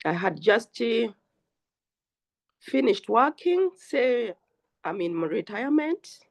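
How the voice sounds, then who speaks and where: An older woman with a Ugandan English accent, slowly telling her own story as guest speaker on a group call. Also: mid-range voice, darkish tone. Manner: slow, earnest storytelling. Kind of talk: personal testimony on a group call.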